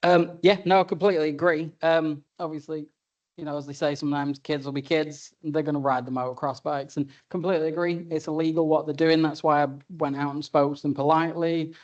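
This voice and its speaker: A man's voice: on the high side, fairly even intonation, a little fast, a little mellow, dull audio. A man speaking as a councillor at a council meeting, asking a question in a plain, informal way. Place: council chamber.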